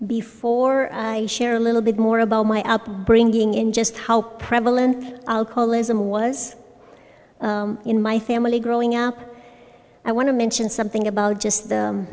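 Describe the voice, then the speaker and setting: Woman speaking slowly in a hall. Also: on the high side, a little mellow. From Romania. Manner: hesitant, with frequent pauses and restarts. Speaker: speaker at a recovery fellowship meeting. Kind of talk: personal testimony talk. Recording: long reverb from a large hall.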